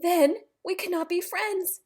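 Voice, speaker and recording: A woman voicing an anxious, melodramatic boy elephant from a picture book, with exaggerated, drawn-out words. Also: very high-pitched, steady tone, a little mellow, pitched above the performer's own voice. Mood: worried, dramatic. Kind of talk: animated picture book read-aloud.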